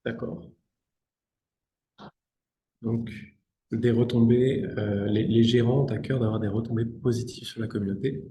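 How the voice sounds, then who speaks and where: A man's voice: medium pitch, steady tone, measured pace, pauses often, mellow, a little hiss or hum. A young man with a southwestern French accent leads an online training, inviting participants to discuss. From southwest France. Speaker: trainer, sales and strategy expert. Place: indoors, on a video call. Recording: roomy, echoing sound.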